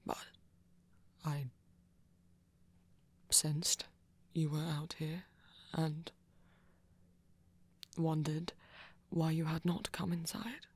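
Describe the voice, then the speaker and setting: Performer acting a breathy, flirty vampire fledgling, low and slow. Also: flat delivery. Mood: teasing, coy. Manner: formal, careful phrasing, teasing. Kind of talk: breathy ASMR roleplay acting.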